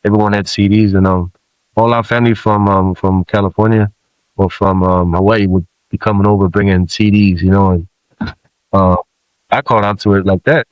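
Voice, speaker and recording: A man in his forties who grew up in Samoa, a rapper guest telling stories in relaxed, casual talk with many fillers. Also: on the low side, normal speed, dry recording, dull audio.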